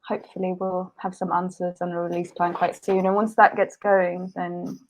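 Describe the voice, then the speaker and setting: A woman's voice: on the low side, level tone, normal speed, low-quality recording. Chatty young woman from Sweden. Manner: chatty, many likes and restarts. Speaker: singer-songwriter guest. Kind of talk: casual interview chat.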